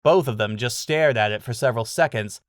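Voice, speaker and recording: A man's voice: mid-range voice, fairly quick, no echo, clean studio sound. A man reading scripted dramatic fantasy fiction as narrator.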